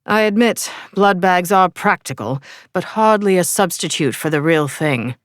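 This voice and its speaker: A woman's voice: on the low side, lively intonation, normal speed, no room sound, clean studio sound, natural voice. A woman voicing a teasing young male vampire with an English accent, playful and mocking. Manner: playful and mocking, sing-song.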